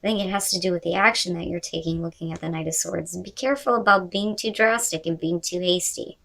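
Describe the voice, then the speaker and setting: A woman's voice: fairly low pitch, quick pace, creaky, fairly bright tone, rough recording. A woman host giving an unscripted tarot reading to viewers, talking in a conversational, emphatic way with the odd mild swear word.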